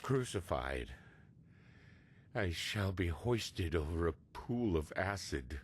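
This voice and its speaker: A grim slaver captain confesses in a hugely deep voice. His delivery is slow, grave and ominous, as in a dark fantasy game. Faint rumbling noise runs beneath it. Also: very animated, exaggerated voice. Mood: grim, fatalistic. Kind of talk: dramatic game voice-over of a confession.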